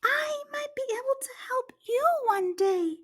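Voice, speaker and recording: Tiny squeaky, pleading mother mouse. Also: expressive, measured pace. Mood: timid, hopeful. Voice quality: squeaky, whimpering. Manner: pleading, earnest. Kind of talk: children's storybook read-aloud.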